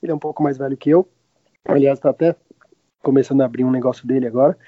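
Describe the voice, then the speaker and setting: A man in his late thirties from Argentina with a Brazilian accent, chatting casually and haltingly as an interview guest, often backtracking mid-sentence. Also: mid-range voice, fairly even intonation, dry recording. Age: late 30s. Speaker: podcast guest, restaurant owner. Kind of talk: casual chat. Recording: roomless dry, slightly dull lo-fi sound.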